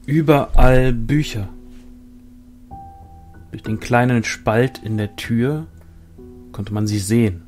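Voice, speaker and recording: A man calmly and measuredly reading a fantasy short story aloud as narrator. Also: mid-range voice, takes it slowly, pauses often. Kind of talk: scripted storytelling. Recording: soft guitar music behind.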